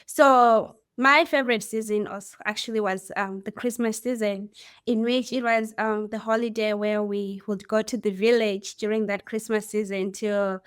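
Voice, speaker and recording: A woman's voice: medium pitch, steady tone, normal speed, clean tone, dry recording, studio quality. A young woman, a student guest telling stories in a reflective, hesitant way. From Zimbabwe. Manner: reflective, hesitant with many ums. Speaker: podcast guest, university student. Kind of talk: interview storytelling.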